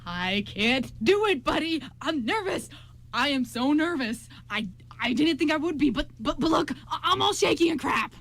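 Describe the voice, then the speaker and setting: Woman playing a jittery lovestruck young groom, higher voice. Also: animated, normal speed, fairly bright tone. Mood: panicked. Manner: stammering, repeats words. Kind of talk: amateur radio play reading. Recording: clearly noisy amateur recording with hum.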